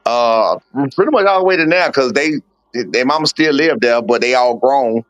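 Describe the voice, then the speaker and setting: Casting note: forties-age man, coach type, candid and blunt, mid-pitched, dry close sound. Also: animated, normal speed, a bit bright, dry recording, dull audio. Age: 40s. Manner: candid, blunt storytelling with slang and swearing. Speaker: boxing coach and father of a fighter. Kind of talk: casual podcast interview.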